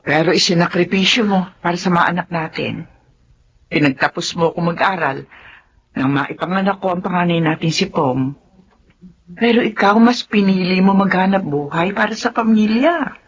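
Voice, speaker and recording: A woman playing a supportive middle-aged Filipino mother and wife with a husky voice in a radio drama. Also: fairly low pitch, moderate pace, highs cut off. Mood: tender, nostalgic. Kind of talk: Tagalog radio drama acting.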